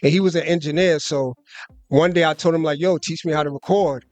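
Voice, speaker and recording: A man's voice: fairly high pitch, fairly quick, no echo. A young man from the United States, a guest rapper, talking loosely in a casual radio interview with plenty of fillers. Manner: relaxed, loose, with many fillers and "you know what I'm saying". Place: live concert radio booth.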